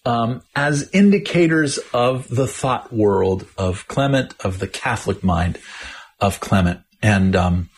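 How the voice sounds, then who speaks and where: A man with a slightly husky voice talks through a topic on a podcast. He explains carefully and thoughtfully, often restarting a phrase as he works out his point. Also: fairly low pitch, lively intonation, takes it slowly. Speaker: podcast co-host. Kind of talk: casual theological discussion.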